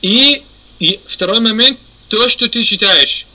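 A man teaching a religious lesson. He pauses often, corrects himself, and keeps asking if his listeners understand. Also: high-pitched, bright, forceful tone, rough recording. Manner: halting, many fillers and self-corrections. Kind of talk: explanatory lesson.

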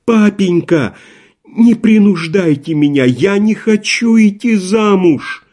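A man's voice: fairly quick, mellow, slightly rough. A man reading aloud, voicing a young noblewoman who tearfully begs her father. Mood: pleading. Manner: pleading, tearful, raised pitch.

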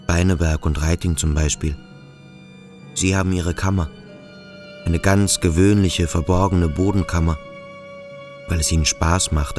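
A male actor playing a brooding, introspective schoolboy who questions himself slowly and deliberately in a literary radio drama. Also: rumbling low, darkish tone. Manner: slow, deliberate, self-questioning. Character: brooding, introspective schoolboy pondering his own strangeness.

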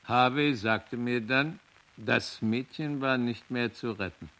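A sober official witness in a radio play. His voice is medium in pitch. He puts testimony on record in a formal, factual way. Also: takes it slowly, sharp tone.

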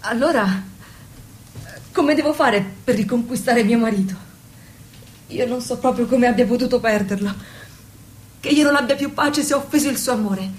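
A woman's voice: a little high, normal speed, pauses often, natural voice. A woman acting a devoted, innocent young wife in an intimate stage-style drama, earnest, submissive and self-blaming. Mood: pleading, devoted. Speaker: stage actress. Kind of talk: intimate theatrical radio drama.

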